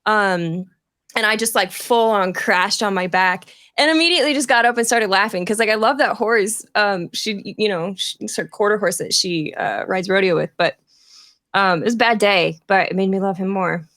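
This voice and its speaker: A woman's voice: medium pitch, moderate pace, a bit bright, no room sound. Casual woman host, very dry close sound. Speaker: host of a theater live-stream chat. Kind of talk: casual chat.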